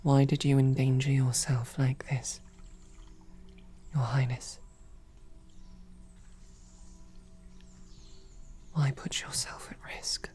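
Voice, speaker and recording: A woman voicing a devoted, protective female royal knight in a whispered audio roleplay, with soft, earnest questioning. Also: rumbling low, flat delivery, measured pace, faint music behind. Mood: soft, searching.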